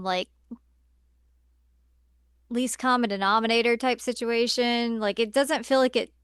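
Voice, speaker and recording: Higher-pitched woman chatting casually, clear voice. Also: fairly bright tone, no echo, clean studio sound. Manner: conversational, filler-heavy with frequent "like". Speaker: podcast co-host. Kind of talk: casual chat reviewing films.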